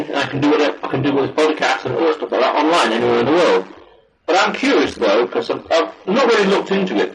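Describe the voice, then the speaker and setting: A man living in the UK who hosts a podcast, asking questions in a brisk, casual way. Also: mid-range voice. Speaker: podcast host. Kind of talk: podcast interview host. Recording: roomy sound with music behind.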